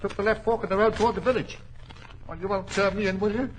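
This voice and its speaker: A man performs a shifty jailbird vagabond with a working-class English accent. The high voice stammers and wheedles its way along in vintage radio style, with faint hiss on the recording. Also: steady tone, fast talker, close to an everyday voice, rough recording. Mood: pleading. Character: shifty, cringing male vagabond ex-convict. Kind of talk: 1940s radio drama acting. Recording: hissy old 1940s radio recording.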